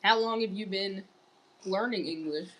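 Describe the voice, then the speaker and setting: A woman's voice: medium pitch, moderate pace, fairly bright tone. A woman streaming live in casual chat, reading viewer messages aloud and answering them. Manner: wearing large headphones. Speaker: livestreamer.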